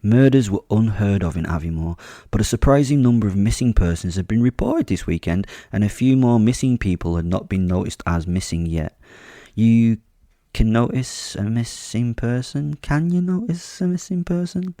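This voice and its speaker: A man's voice: on the low side, normal speed, dark tone, no echo, clean studio sound. A man hosting his podcast and telling a first-person horror story in an offhand, chatty way, swearing casually and joking darkly. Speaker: podcast host and narrator.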